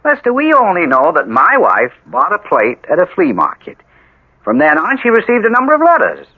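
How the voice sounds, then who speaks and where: An actor playing a self-justifying husband who argues with emphatic questions in a radio drama. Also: male voice, fairly high pitch, huge pitch swings, brisk, slightly rough, no echo, full character voice. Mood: defensive, insistent. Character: evasive, self-justifying husband, coolly argumentative. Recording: muffled, slightly noisy old radio recording.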